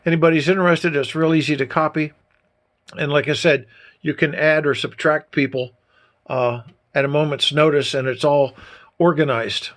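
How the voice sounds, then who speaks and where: A man with a somewhat higher-pitched voice talks through a homemade hobby demonstration. He is informal and folksy, explaining each step in a casual way as he shows it on a handheld camera indoors. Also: steady tone, normal speed, a bit bright. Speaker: amateur radio hobbyist presenter. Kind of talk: casual hands-on demonstration talk.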